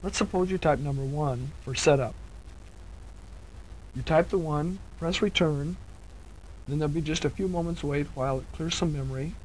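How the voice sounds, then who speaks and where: Male instructional narrator, slightly high voice, methodical step-by-step delivery, faintly noisy vintage 1970s cassette sound. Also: normal speed, pauses often, a little mellow.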